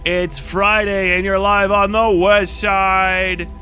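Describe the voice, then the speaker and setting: Bright, high-pitched man hosting a livestream. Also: flat delivery, takes it slowly, faint music behind. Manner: profane, slangy, irreverent. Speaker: live stream host. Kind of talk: casual rambling livestream commentary.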